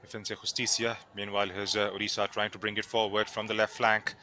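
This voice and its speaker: A man from India with an Indian accent, co-commentating live football in a measured, analytical way. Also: lowish voice, level tone, sharp tone, a little hiss or hum.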